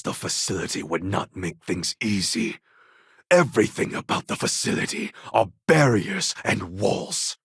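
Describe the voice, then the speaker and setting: A man playing a proud, brooding warlord and rebel leader in a video game, with a husky, gravelly voice and grave, formal, deliberate delivery. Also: lowish voice, a bit bright, no room sound, studio quality, heavily acted. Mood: proud, resolute. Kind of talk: video game character acting.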